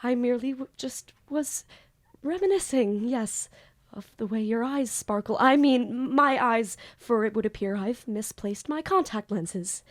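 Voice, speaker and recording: A woman's voice: on the high side, measured pace, echoey room, natural voice. A woman playing a flustered, lovestruck, bookish student in an audio drama, with grandiose theatrical diction. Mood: flustered, nervous.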